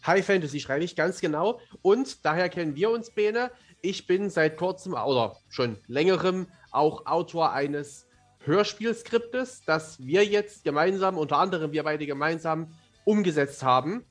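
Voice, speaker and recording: A man's voice: fairly high pitch, animated, moderate pace, soft background music. A young man speaking as a podcast guest, telling things casually in a wordy, articulate and playful way. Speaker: podcast guest, fantasy author. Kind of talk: casual, talkative storytelling.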